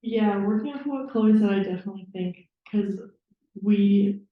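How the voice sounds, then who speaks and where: A young woman from Minnesota adds her thoughts on a panel in a chatty, casual way. Her voice is mid-pitched, and the sound is dull and heavily echoed. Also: moderate pace. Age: young adult.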